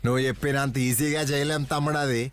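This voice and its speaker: A man's voice: mid-range voice, steady tone, fast, faint music behind, natural voice. A man voicing a bossy but protective cartoon elder brother, commanding and chiding. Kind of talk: Telugu cartoon dub.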